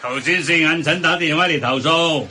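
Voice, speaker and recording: A man's voice: on the high side, normal speed, bright, forceful tone, slight background noise. A man acting a hot-tempered tea restaurant boss in a comedic radio drama, blustering in Hong Kong Cantonese. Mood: irritated. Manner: blustering, exclamatory.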